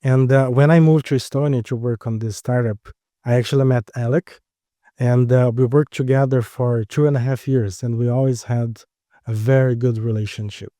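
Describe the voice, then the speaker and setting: Mellow-voiced man with a Brazilian accent. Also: medium pitch, steady tone, dry recording, studio quality. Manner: explanatory, speaks in short phrases with pauses. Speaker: startup co-founder, guest. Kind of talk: interview answers.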